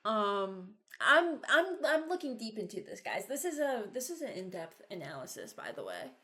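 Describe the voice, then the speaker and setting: A woman's voice: mid-range voice, wildly swooping pitch, moderate pace, bright, forceful tone. A young adult woman chatting casually as a video creator, rambling and hesitant as she thinks aloud. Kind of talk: casual reaction chat.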